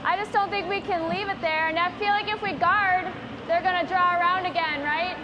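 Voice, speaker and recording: A high-pitched woman talks fast with a teammate, questioning each option as they plan a shot. her player mic picks up clear background noise around her. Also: fairly even intonation, highs cut off. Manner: quick, questioning, discussing shots. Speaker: curler on the ice. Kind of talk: mic'd player strategy talk.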